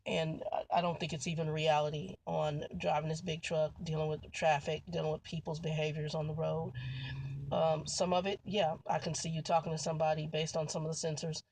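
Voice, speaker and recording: A woman's voice: sits low, little pitch movement, brisk, darkish tone, slight background noise, rough recording. A woman truck driver vlogging in a casual, rambling way, repeating herself and calling her viewers y'all. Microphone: phone.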